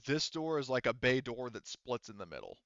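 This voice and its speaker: A man's voice: medium pitch, big pitch swings, brisk, a bit bright, dry recording. A man acting as game master, describing scenes and rulings in a casual, conversational, hedging way over slightly dull audio. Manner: informal, conversational, hedging explanations. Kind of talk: casual tabletop game narration.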